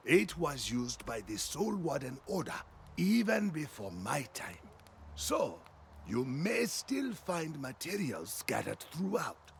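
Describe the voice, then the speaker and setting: A wise old mentor of an ancient order in a fantasy game. He speaks slowly and solemnly, with weighty pauses and a creaky, weathered edge. His pitch rises and falls expressively over soft music. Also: a little hiss or hum, full character voice. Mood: instructive. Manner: measured, deliberate, solemn, with pauses. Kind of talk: dramatic fantasy game voice acting. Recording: music behind the voice.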